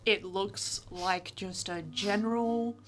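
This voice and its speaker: A woman casually narrating her character at a game table, feeling her way through the telling in a halting style. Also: mid-range voice, steady tone. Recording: music behind the voice.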